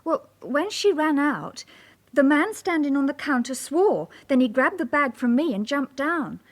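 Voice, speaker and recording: An obliging female bank clerk in a radio crime drama. Her high voice gives an orderly, detailed account as a witness. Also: animated, a little slow. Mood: shaken, recounting. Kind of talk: naturalistic radio drama acting.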